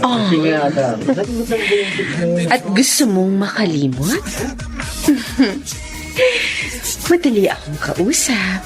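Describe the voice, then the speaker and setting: An actress playing a bitter, seductive wronged woman in a radio drama, with a drawn-out theatrical delivery. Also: medium pitch, very animated, music in the background. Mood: sultry, teasing. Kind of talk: Tagalog radio drama acting.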